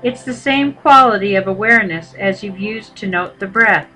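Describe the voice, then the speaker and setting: Bright-voiced woman guiding a meditation. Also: mid-range voice, soft background music, low-quality recording. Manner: unhurried, measured instruction with pauses. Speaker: meditation teacher. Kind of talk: guided meditation.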